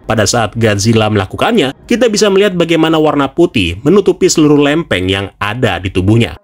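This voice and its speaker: A man narrates a semi-casual scripted explainer, speaking directly to viewers in a conversational way. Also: medium pitch. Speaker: video narrator. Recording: music behind the voice. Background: background music.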